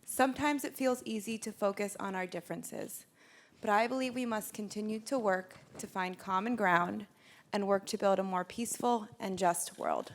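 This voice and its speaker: Sincere American woman, steady delivery. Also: medium pitch, moderate pace. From United States. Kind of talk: personal introduction at a conference.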